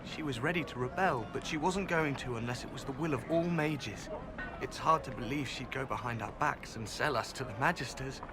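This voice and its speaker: A man's voice: medium pitch, fairly quick, over music, close to an everyday voice. A man playing an earnest, aggrieved young elven rebel mage in a fantasy game. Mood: uneasy. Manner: earnest, indignant. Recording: noisy ambience behind the voice.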